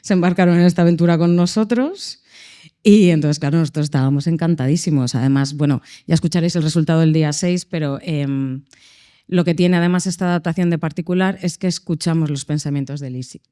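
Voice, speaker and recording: A woman's voice: low voice, fairly quick, warm tone. A woman speaking on a panel at a public event, explaining decisions in an enthusiastic, upbeat way. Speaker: casting and production lead. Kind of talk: panel discussion answer.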